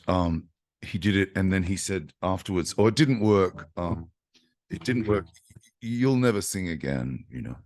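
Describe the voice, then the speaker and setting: A man, a singer-songwriter, telling a story in a chatty, animated way to an online audience over a video call. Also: sits low. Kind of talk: casual talk to an online audience.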